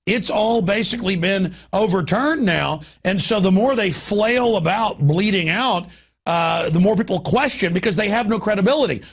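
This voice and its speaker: Gravelly, raspy man hosting political talk. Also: high voice, moderate pace. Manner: emphatic, ranting, piles up examples. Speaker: talk show host. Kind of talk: opinionated political radio talk.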